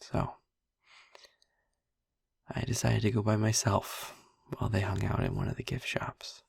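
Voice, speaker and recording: Audio roleplay vampire boyfriend, male actor: low, very breathy husky voice, tender, halting confession on a close dry mic. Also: flat delivery, dry recording, exaggerated voice. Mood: wistful, reminiscing. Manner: hesitant, halting, soft-spoken, confiding. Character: gentle young-looking male vampire boyfriend confiding painful past. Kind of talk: intimate audio roleplay monologue.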